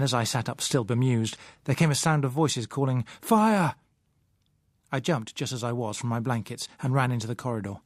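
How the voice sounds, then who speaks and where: A man with a British accent reading a first-person novel as an audiobook, in a measured, literary manner. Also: medium pitch, a little mellow, no echo. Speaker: audiobook narrator.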